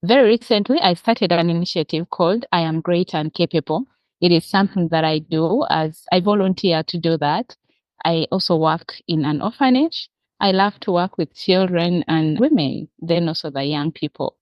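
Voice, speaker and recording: A woman's voice: fairly low pitch, moderate pace, no room sound. A woman from Uganda with a Ugandan accent, explaining her work earnestly as an interview guest. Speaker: interview guest, social worker. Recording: dull, muffled lo-fi call audio.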